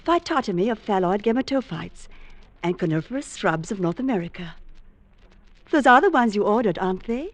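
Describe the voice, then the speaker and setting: An actress playing a friendly young female bookshop clerk in an old-time radio drama, sweet and flattering. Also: mid-range voice, brisk, no echo, close to an everyday voice.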